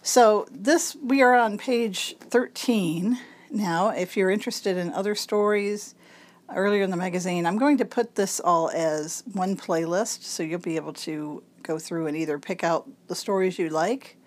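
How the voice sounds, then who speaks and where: A woman reads a magazine piece aloud in a raspy voice, thickened by congestion. She takes it slowly and carefully, shaping each word clearly for listeners who cannot read the page. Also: mid-range voice. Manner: slow, careful enunciation. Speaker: volunteer reader of a local magazine. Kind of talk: reading a magazine article aloud.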